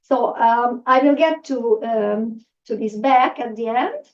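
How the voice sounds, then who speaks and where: Presenter: woman with a Hungarian accent and a somewhat high voice, halting and filler-heavy, recorded from across the room. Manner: hesitant, many fillers and restarts. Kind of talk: public talk to a live audience. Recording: distant, dull lo-fi room recording.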